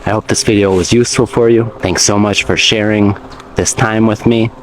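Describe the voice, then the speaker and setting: A man's voice: lowish voice, steady tone, moderate pace, faint music behind. A man eating and chatting in a casual vlog for English learners, explaining words simply and often calling viewers "guys". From United States. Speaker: English teacher vlogger.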